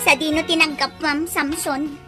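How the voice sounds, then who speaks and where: A woman's voice: sits high, little pitch movement, moderate pace, loud music behind the voice, close to an everyday voice. A woman playing a jealous, bossy, suspicious sweetheart in a radio comedy drama, scolding and accusing.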